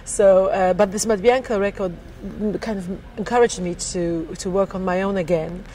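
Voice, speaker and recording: A woman's voice: mid-range voice, steady tone, moderate pace, mellow, poor audio. Woman, Polish accent, middle-aged, chatty interview talk, laughs often, faint background hiss. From Poland. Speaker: singer interviewed. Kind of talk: casual interview chat. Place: backstage at a theater.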